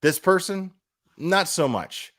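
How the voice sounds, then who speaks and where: A man's voice: high-pitched, lively intonation, takes it slowly, fairly bright tone, dry recording, studio quality. A man speaking casually and off the cuff like a live streamer on a rant, blunt and profane, repeating phrases for emphasis. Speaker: livestream commentator.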